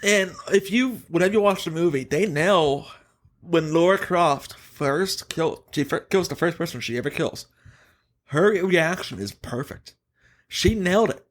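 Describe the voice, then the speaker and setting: A man hosting a casual gaming podcast, giving opinions emphatically in a halting, self-correcting way. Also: on the high side, animated. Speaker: podcast host.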